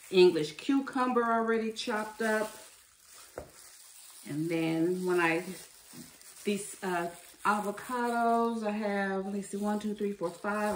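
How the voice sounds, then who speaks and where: A woman's voice: medium pitch, distant mic, a little hiss or hum. A woman hosting a cooking channel, chatting in a homey way with her viewers as she preps food in her kitchen. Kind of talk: casual meal-prep chat while cooking. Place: home kitchen. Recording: echoey room, mic set back from her.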